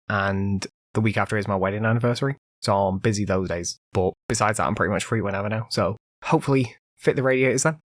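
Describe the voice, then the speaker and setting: A man's voice: mid-range voice, no echo, studio quality. A man hosting a casual solo podcast, rambling conversationally with lots of fillers, still recovering from an illness. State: recovering from illness, voice occasionally cutting out. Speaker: podcast host.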